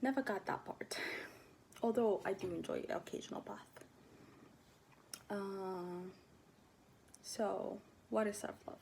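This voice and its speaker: A woman in her twenties from Ethiopia talking casually to her camera, soft-spoken and hesitant, often dropping to near-whispers. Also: mid-range voice, takes it slowly, gravelly, pauses often, a little hiss or hum, rough recording. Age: 20s. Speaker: vlogger. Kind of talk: casual personal talk to camera.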